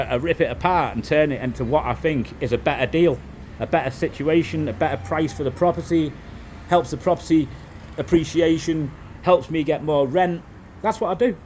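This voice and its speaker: A middle-aged man with a softened Liverpool accent, chatting loosely to camera as a vlogger, with lots of restarts. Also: on the high side, a little hiss or hum. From England. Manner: rambling, frequent restarts and "it is what it is". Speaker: vlogger, property developer. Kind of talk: casual monologue to camera.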